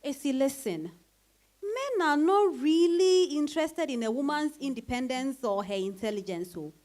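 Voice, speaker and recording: A woman's voice: high-pitched, animated, moderate pace, a little mellow. An actress with a Ghanaian accent playing a realistic, frank woman friend in a dramatized reading, emphatic and sisterly in her chiding. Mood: chiding, knowing.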